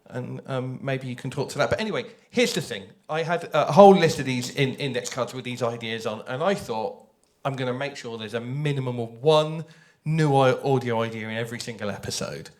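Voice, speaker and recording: A man speaking informally on a panel as an audio drama creator, telling how the work was made in an animated way. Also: medium pitch, brisk, hardly any pauses. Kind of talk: panel talk, informal explanation.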